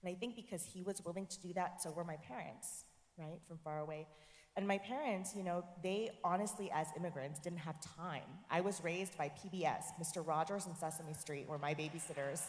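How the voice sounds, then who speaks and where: A woman whose first language was Korean speaks as a guest in a long, ringing hall, her low voice earnest and reflective. She checks in with her listeners now and then and keeps a thoughtful, steady flow. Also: brisk. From United States. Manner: earnest, tags points with "right?". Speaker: professor, forum guest. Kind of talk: reflective interview conversation. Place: church forum hall. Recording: echoey hall.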